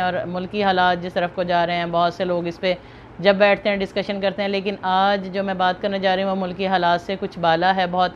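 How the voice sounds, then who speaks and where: A woman with a Pakistani accent giving earnest, emphatic, unscripted commentary to viewers, often rephrasing as she goes. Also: medium pitch. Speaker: video host and commentator. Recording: muffled lo-fi, clear background noise.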